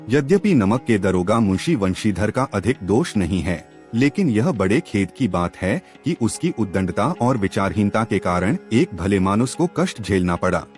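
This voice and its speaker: A man's voice: on the low side, a little mellow. A man voicing a condescending magistrate in a story, reading a ruling in a formal, official manner.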